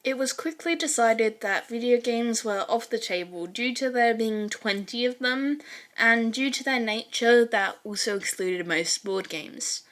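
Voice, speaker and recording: A woman with a fairly high voice narrates a piece of fan fiction. She reads from a script, telling the story as its narrator. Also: unhurried, fairly bright tone.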